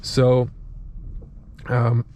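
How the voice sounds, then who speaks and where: A man's voice: medium pitch, completely flat delivery, drawn-out words, darkish tone. A man with a Croatian accent, a native Croatian speaker, gives casual car review commentary in English as a second language, sometimes searching for words. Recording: clear vehicle and ambient noise. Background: car and ambient noise.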